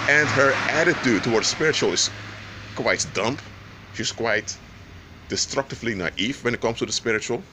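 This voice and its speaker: A man with a low voice teaches on faith, loud and emphatic, with halting restarts. His voice carries a long reverberant tail. Clear traffic noise runs behind him. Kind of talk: spoken teaching monologue.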